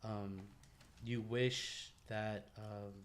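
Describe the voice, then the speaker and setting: A man's voice: on the low side, little pitch movement, extremely slow. A man co-hosting a casual podcast, talking informally with friends in profane bro slang. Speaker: podcast co-host.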